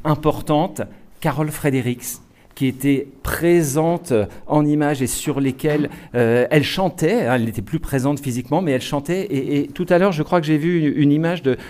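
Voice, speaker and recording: A man's voice: a little high, normal speed, a little mellow. A man interviewing a musician guest, chatty and full of stammered repeats and fillers. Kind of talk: interview questions.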